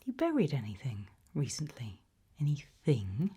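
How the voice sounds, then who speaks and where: A British woman whispering an ASMR roleplay as a creepy, probing examiner, asking questions slowly and deliberately with quiet playfulness. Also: rumbling low, huge pitch swings, slightly rough. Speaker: ASMR roleplayer playing an interviewer.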